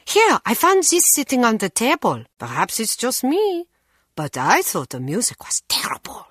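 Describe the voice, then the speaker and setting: A woman's voice: a little high, huge pitch swings, moderate pace, a bit bright, no room sound, studio quality, heavily acted. A woman playing a candid, dismissive nightclub patron in a video game. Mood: unimpressed.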